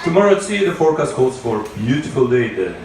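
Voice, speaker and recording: A man speaking as a cruise ship captain, making a formal, measured and courteous announcement with halting pauses over a public address speaker. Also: medium pitch, big pitch swings, music in the background. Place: indoors on a cruise ship, heard over loudspeakers by a walking passenger. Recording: echoey ship PA from far off, crowd chatter. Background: passengers chattering.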